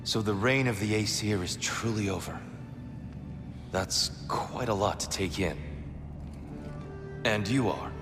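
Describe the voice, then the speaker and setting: An actor playing a gentle, weary freed prisoner god in a video game, measured, reflective and courteous. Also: male voice, fairly low pitch, music in the background. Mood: stunned, hesitant. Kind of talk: dramatic video game acting.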